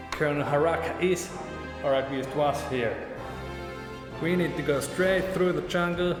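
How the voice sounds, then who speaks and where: A man's voice: medium pitch, close to an everyday voice. A man playing a bullying, foul-mouthed American army sergeant who barks profane orders. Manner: barking, profane, contemptuous. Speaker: drill sergeant. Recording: echoey room, long reverb.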